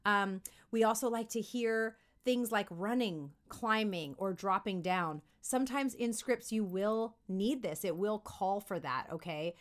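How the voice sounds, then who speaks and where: A woman giving an upbeat, conversational lesson as a voice acting coach, animated and encouraging, often checking in with listeners. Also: mid-range voice, a little slow, hardly any pauses. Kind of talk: upbeat instructional talk to camera.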